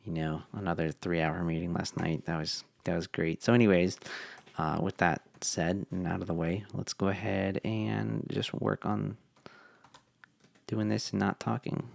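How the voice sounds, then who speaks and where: A young man talking through a casual coding tutorial, relaxed and thinking aloud with fillers, his voice tired from long meetings. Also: sits low, normal speed, gravelly. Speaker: web developer.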